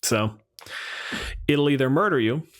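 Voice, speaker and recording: Male podcast co-host, medium pitch. Casual, argumentative, sweary, thinking out loud. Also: expressive, measured pace. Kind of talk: casual, joking podcast discussion of game monsters.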